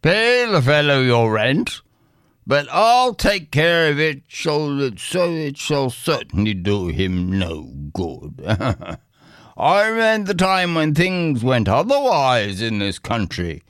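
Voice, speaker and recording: A man acts out a mysterious lordly gentleman from a folk tale. He speaks kindly and slowly in courtly phrases, with lively swings of pitch. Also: smooth, no echo, clean studio sound. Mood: generous, amused. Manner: courtly, old-fashioned phrasing.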